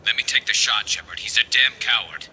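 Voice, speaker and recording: A battle-worn alien marksman for a video game. The voice is extremely low and thick with rasping fry, speaking in a measured, brooding way with dry wit. Clear ambient noise sits behind it. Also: animated, fairly bright tone, exaggerated voice, low-quality recording. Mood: vengeful, tense. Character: grim, vengeful alien male sniper with dry wit. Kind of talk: video game dialogue acting.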